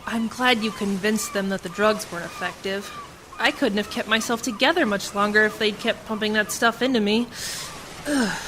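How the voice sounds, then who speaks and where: A woman's voice: mid-range voice, normal speed, natural voice. A woman playing a defiant, bitter prisoner in a sci-fi radio play, wary and pointed in her questioning. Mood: bitter, resentful. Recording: noisy community radio drama recording.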